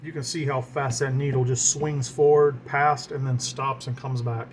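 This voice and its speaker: Male hobbyist, average-pitched, informal explainer who mutters through each step; noticeable equipment hum and fan noise in the recording. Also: normal speed. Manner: talks to himself while working, explanatory. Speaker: radio hobbyist demonstrating equipment. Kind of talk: informal hands-on demonstration. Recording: clear steady background equipment noise.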